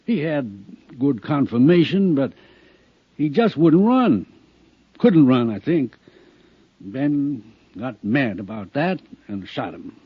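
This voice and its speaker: A man's voice: medium pitch, big pitch swings, slow pace, pauses often, husky, no room sound, heavily acted. A man playing a reluctant, subdued country doctor in an old radio drama. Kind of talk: 1950s radio drama acting. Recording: dull old radio recording.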